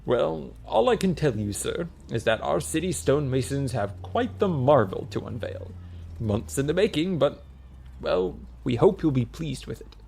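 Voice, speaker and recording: A man's voice: fairly high pitch, animated, moderate pace, vocal fry, a little mellow, slight background noise. Man playing a nervous, deferential aide. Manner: hesitant, eager to please.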